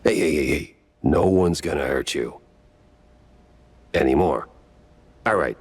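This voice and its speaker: A deep, world-weary cartoon mouse detective. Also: male voice, measured pace, breathy edge, exaggerated voice. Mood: weary, sardonic. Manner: dry, sardonic. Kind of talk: 1930s noir cartoon game voice acting.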